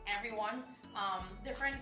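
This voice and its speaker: A woman's voice: mid-range voice, moderate pace. A woman in her thirties, a guest gym co-owner, chatting earnestly while cooking in a home kitchen, with frequent "um" fillers. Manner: steady, lots of "um" fillers, earnest. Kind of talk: casual chat while cooking. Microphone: far camera. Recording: roomy kitchen echo, distant mic, music behind.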